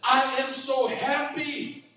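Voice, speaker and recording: Male pastor, very high voice, fervent shouting sermon, captured from afar in a booming hall. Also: level tone, takes it slowly. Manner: impassioned preaching, often shouting. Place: church sanctuary. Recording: very echoey hall, mic far off.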